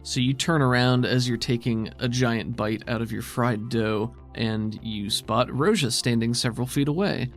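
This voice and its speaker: A man's voice: medium pitch, normal speed, few pauses, faint music behind. A man narrating a tabletop game as game master in a casual style.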